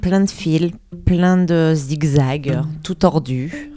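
A woman in her forties chatting casually about her knitting, relaxed and laughing often. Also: deep voice, slow pace, music in the background. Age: 40s. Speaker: knitter sharing her experience. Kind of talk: casual chat.

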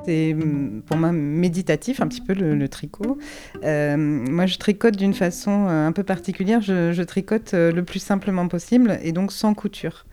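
A woman in her forties speaking calmly and thoughtfully about knitting. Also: lowish voice, moderate pace. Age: 40s. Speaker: knitter sharing her experience. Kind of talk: casual chat. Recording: instrument music behind.

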